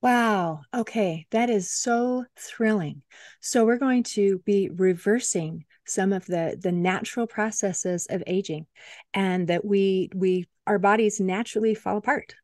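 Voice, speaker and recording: A woman hosts a podcast in an enthusiastic, affirming way. Her voice is mid-pitched, and the recording is dry and close, with no room sound. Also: a little slow, darkish tone, no echo. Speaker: podcast host. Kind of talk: casual interview talk.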